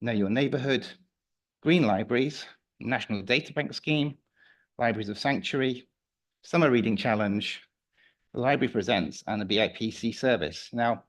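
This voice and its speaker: A man with a slightly croaky voice giving a formal report to a council committee, measured and a little hesitant. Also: medium pitch. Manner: measured, with some fillers and restarts. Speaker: council officer presenting a report. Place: council meeting room.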